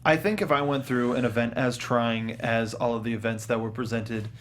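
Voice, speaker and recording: A man hosting a casual film discussion show, speaking in a halting, emphatic way. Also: medium pitch, fairly even intonation, a bit bright, slight background noise. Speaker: talk show host.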